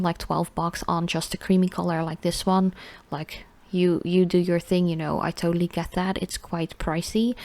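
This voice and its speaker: A woman's voice: on the low side, a little mellow, no echo. A young woman with a Dutch accent, chatting casually with many fillers as she reviews nail polish. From Netherlands.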